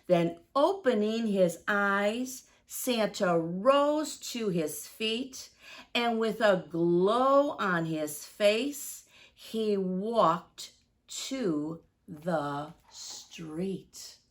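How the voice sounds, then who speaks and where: A woman reading a rhyming story aloud to young children in an expressive, deliberate storytime manner. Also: medium pitch.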